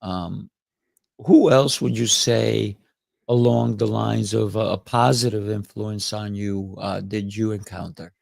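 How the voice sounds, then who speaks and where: Gravelly-voiced male podcast host. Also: fairly low pitch, steady tone, unhurried, darkish tone, no echo, studio quality. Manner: encouraging, frequent "mm" backchannels. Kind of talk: interview hosting.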